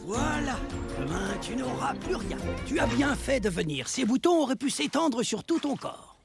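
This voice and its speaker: A woman playing a kindly, knowing village healer in an animated series, reassuring and maternal. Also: sits high, normal speed, vocal fry, heavily acted. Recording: loud music under the dialogue.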